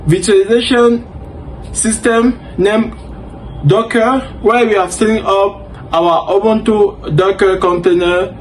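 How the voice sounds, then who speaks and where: A French-native man walks viewers through a server setup tutorial with a fairly high voice. He speaks slowly, with plenty of fillers and self-corrections, and keeps calling each step awesome. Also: slight background noise, poor audio. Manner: unhurried, thinks aloud with many fillers and self-corrections; upbeat, keeps saying things are awesome. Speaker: tech tutorial host. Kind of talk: screen-recorded coding tutorial.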